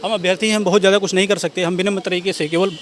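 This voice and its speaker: Young male protester, rapid high delivery, articulate and earnest. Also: steady tone, background noise. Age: young adult. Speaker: job candidate at a protest. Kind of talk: street interview.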